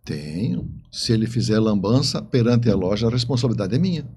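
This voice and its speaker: A man's voice: lowish voice, expressive, fairly quick, a little mellow, breathy edge. A man speaking as an interview guest, telling stories conversationally and repeating phrases for emphasis. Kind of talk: conversational storytelling.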